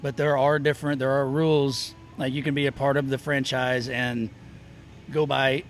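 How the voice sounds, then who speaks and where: A man's voice: mid-range voice, flat delivery, moderate pace, slight background noise. Middle-aged man, musician guest, loose rambling storyteller, mid-range voice. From Oklahoma and Texas. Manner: rambling, self-interrupting storyteller. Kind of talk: casual interview chat. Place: outdoor festival.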